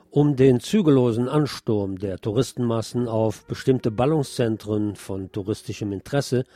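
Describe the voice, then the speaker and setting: A man's voice: mid-range voice, dark tone. A man presenting scripted radio news as a narrator, with a slightly wry, colloquial delivery.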